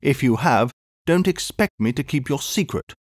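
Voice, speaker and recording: Male reader as a reproving elderly gentleman, measured and dry. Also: medium pitch, lively intonation, no echo, close to an everyday voice. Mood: stern.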